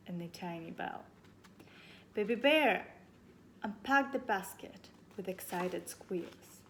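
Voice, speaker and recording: A woman teacher reading a children's storybook aloud expressively to young pupils. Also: mid-range voice. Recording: faint background noise.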